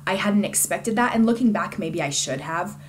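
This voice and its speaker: A woman's voice: mid-range voice, lively intonation, normal speed, a little hiss or hum. A woman giving a casual, conversational book review in an informal and enthusiastic way.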